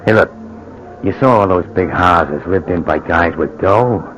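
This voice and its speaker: A low-pitched, streetwise crook in an old radio play, cynical and pushy. He talks in tough slang, bullying and coaxing his partner. The recording is dull, with a noisy old-broadcast hiss. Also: a little slow, a bit bright. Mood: pushy, persuasive. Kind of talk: old-time radio drama acting.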